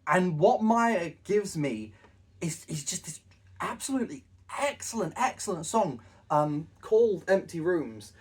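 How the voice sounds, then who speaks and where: A man's voice: high-pitched, animated, measured pace, breathy edge, distant mic. A man co-hosting a casual chat, rambling and self-deprecating, with a lot of stumbling restarts. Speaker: co-host.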